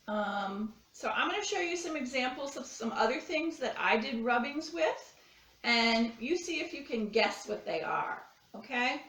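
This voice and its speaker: Higher-voiced woman teaching kids, echoey room. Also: fairly bright tone. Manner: simple, step-by-step explaining with repeated phrases. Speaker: art teacher presenting a children's craft lesson. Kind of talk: instructional demonstration for children. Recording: echoey room, distant microphone.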